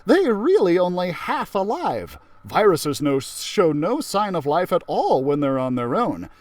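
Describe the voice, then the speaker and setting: A man's voice: high-pitched, very animated, unhurried, no echo, pitched above the performer's own voice. A man voicing an upbeat, theatrical female science teacher from a storybook, explaining things with emphasis. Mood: explanatory.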